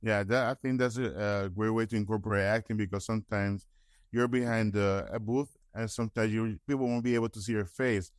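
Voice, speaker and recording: A man's voice: on the low side, fairly even intonation, fairly quick, clear, resonant tone, no room sound. Man asking rambling questions, dry sound. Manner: stumbling, rambling questions with false starts. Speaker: interviewer. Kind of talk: casual fan-like celebrity interview.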